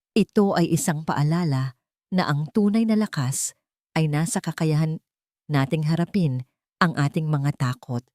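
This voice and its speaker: Low-voiced woman narrating a sentimental story. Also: mellow, no room sound, clean studio sound. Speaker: story narrator and channel host.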